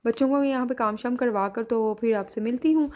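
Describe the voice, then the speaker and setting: Chatty Pakistani mom vlogging at home. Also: female voice, fairly high pitch, a little fast, highs cut off, faint music behind. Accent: Pakistani Urdu. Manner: chatty, scolds and coaxes children. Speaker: vlogger and mother. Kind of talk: casual home vlog. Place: home and restaurant.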